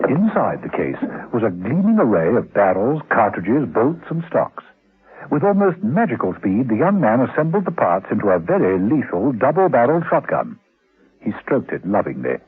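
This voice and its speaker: A man playing the wry narrator of a spy radio serial, telling it in a measured, theatrical, deliberate way. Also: medium pitch, big pitch swings, slightly rough, narrow, tinny audio. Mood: suspenseful, wry. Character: wry, suspenseful storyteller of a spy thriller. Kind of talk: dramatic scripted radio narration. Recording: band-limited old 1970s radio recording.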